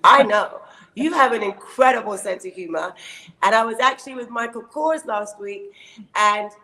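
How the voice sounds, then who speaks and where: A woman in her fifties hosting an interview, effusive and animated. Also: medium pitch, moderate pace, poor audio. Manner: effusive and animated, full of praise. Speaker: host. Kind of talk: casual interview chat.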